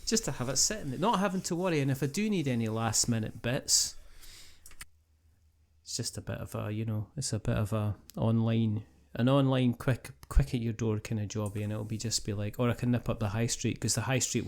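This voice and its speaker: A man hosting a podcast in casual chat, with a jokey, rambling and animated way of talking. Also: fairly low pitch, fairly quick. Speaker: podcast host.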